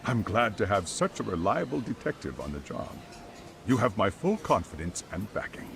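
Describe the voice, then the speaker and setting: A man's voice: on the low side, big pitch swings, normal speed, gravelly, noisy background. An actor playing a polite, formal middle-aged private school chairman in a video game cutscene, speaking in careful, wordy sentences. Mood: measured, formal.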